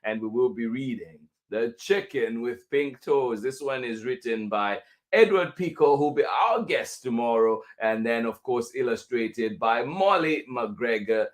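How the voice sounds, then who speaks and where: A man's voice: mid-range voice, expressive, unhurried. A man hosting a children's story livestream, casually greeting viewers and thanking them in an encouraging, appreciative way.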